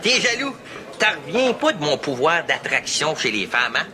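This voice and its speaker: A man's voice: fairly high pitch, big pitch swings, moderate pace, crowd noise. An actor with a Québécois accent playing a vain, rich man who boasts about his pull with women. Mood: smug. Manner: boastful, self-satisfied. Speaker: sitcom character, rich friend. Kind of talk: sitcom acting. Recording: TV sitcom audio with noise.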